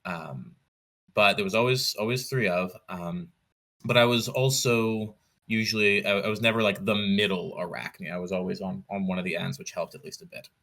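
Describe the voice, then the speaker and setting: Low, bright-voiced man chatting in interview. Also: fairly even intonation, moderate pace. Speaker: podcast guest, competitive card game player. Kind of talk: casual interview storytelling.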